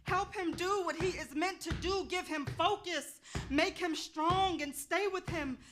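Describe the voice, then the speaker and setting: A woman's voice: very high-pitched, a little slow, fairly bright tone, faint music behind. A woman playing a commanding, devout folk healer with colloquial talk in a staged play reading. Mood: prayerful, fervent.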